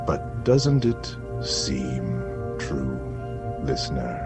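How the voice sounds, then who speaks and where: An actor playing an eerie, grandiose radio science reporter, delivering a portentous monologue with dramatic pauses over music. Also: male voice, extremely low pitch, big pitch swings, vocal fry, full character voice. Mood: solemn, probing. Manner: portentous, dramatic pauses, swinging from hush to proclamation. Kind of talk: theatrical scripted radio monologue. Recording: music behind.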